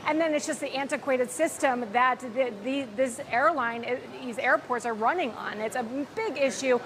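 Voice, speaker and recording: A woman's voice: sits high, moderate pace, fairly bright tone. A woman working as a field reporter, giving a live news report from an airport. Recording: noisy airport location sound. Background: clear airport ambient noise.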